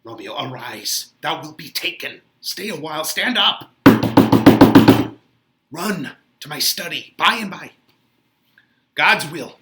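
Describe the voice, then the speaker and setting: A man's voice: fairly high pitch, lively intonation, slow, long pauses, loud music behind the voice. A man voicing an exasperated holy friar who scolds in declamatory Shakespearean verse. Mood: frantic, urgent. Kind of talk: dramatic Shakespeare reading.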